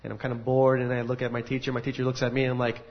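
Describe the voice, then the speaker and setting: A man of ordinary pitch gives a personal testimony to an audience in a large room. He tells his story earnestly and with animated energy. The recording has a dull, lo-fi sound. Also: very fast. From United States. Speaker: speaker giving a testimony. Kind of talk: personal testimony at a faith gathering.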